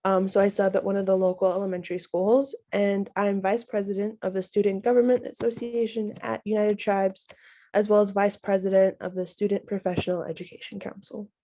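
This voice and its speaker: A woman's voice: mid-range voice, little pitch movement, brisk, warm tone. Nasal young woman fighting off a cold. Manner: apologetic, friendly, chatty. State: getting over a cold, nasal. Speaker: student panelist. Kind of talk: self-introduction on a panel. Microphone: video call.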